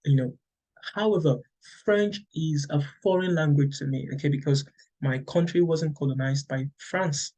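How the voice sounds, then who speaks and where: A man's voice: fairly high pitch, moderate pace, dark tone, muffled recording. A middle-aged Nigerian man, a native Yoruba speaker, explains exams to fellow teachers, checking in with "okay" and often restarting. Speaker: English teacher. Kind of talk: explaining to teachers, conversational lecture.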